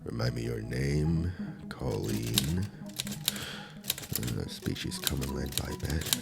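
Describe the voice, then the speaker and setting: A man playing a stern male demon enforcer who is gruff but protective, speaking in a measured, scolding way in an ASMR audio roleplay. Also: over loud music, exaggerated voice. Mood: gentle. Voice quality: deep gravelly husky voice. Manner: scolding, measured, low-key delivery.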